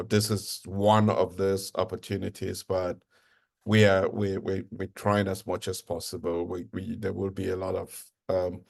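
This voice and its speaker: A man hosting a community video call, speaking in a halting, hesitant way. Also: sits low, creaky. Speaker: call host.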